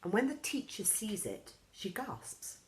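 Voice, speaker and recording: A woman reading a children's story aloud in an expressive storybook style, acting out the characters' lines. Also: unhurried, slight background noise, poor audio. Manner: lively, acts out characters' lines with raised pitch.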